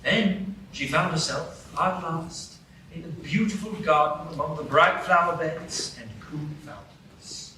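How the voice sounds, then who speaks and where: A man narrating a storybook tale in a live radio play, measured and steady, heard from afar in an echoey room. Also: on the high side. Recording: echoey stage from far off, noisy.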